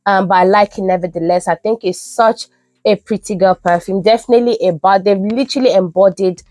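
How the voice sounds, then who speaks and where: A woman's voice: medium pitch, a little fast, darkish tone, no echo. A young woman who sounds nasal from a cold, chatting enthusiastically as a beauty vlogger reviewing products. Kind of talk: casual product review vlog. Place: UK home.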